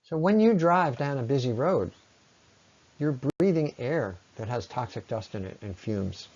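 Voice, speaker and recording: A man's voice: mid-range voice, animated, measured pace, darkish tone. Man lecturing on a dull, lo-fi recording. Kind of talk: explanatory slide presentation.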